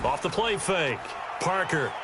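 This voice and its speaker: A man's voice: on the high side, lively intonation, measured pace, heavy background noise. A man playing a composed football play-by-play announcer in a video game, speaking in clipped broadcast phrases. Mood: composed, brisk. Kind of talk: play-by-play sports commentary. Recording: music behind the voice.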